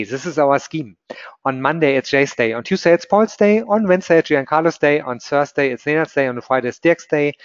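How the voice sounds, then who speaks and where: A man's voice: fairly high pitch, no echo. Upbeat German-accented male host, dry muffled webinar audio. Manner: upbeat, scripted intro with disclaimers. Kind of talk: presenting a trading webinar. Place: office.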